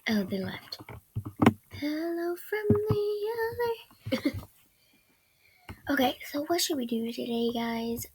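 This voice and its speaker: A woman's voice: sits high, animated, slow pace, long pauses. A sick teenage girl who is losing her voice, giving hesitant, rambling commentary while she plays a game on her computer. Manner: hesitant and rambling, often trailing into soft mumbles. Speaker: gaming channel host. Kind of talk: casual gameplay commentary. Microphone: computer microphone during screen recording. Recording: loud background noise with clicks.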